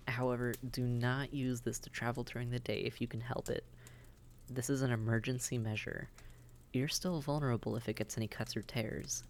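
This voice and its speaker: A man's voice: medium pitch, a little hiss or hum, lightly acted. A man playing a friendly, worldly vampire innkeeper who chats and gives reassuring advice straight to the listener in a roleplay audio drama. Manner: chatty, reassuring, advising.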